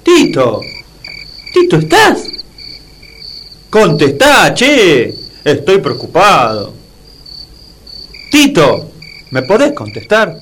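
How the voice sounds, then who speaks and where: Guy doing a nervous argentine city pal in a comic radio play, pleading, highish voice jumping around, crickets behind. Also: huge pitch swings, drawn-out words, long pauses, fairly bright tone, a little hiss or hum, full character voice. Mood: worried, calling out. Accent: Argentine Rioplatense. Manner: anxious, pleading, exclamatory. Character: worried, gullible city-bred friend, easily frightened. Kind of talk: comic audio drama acting.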